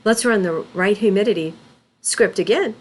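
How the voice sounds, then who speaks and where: A woman narrating a scripted tutorial as an instructor, in a deliberate, instructional manner. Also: mid-range voice, slightly rough. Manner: deliberate, instructional, spells out terms letter by letter. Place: voice-over for a screen recording.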